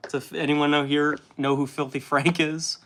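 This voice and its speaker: A young man hosting a casual livestream while painting miniatures, chatty and at times loud and impassioned. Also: a little high, level tone, normal speed.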